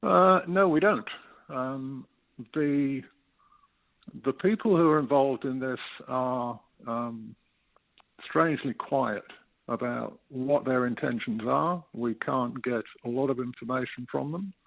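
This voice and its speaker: A man's voice: mid-range voice, gravelly, dry recording. An Englishman in his sixties, a retired resident, explaining things steadily as a guest over the phone with a few fillers. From United Kingdom. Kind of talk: phone interview. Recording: tinny phone line.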